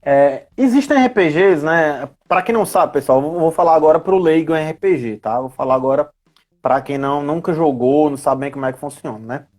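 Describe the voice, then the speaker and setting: A man's voice: fairly high pitch, a little fast, darkish tone. Brazilian man explaining things casually. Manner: didactic, frequent tag questions. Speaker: guest expert on tabletop role-playing games. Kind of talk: casual explanatory live chat.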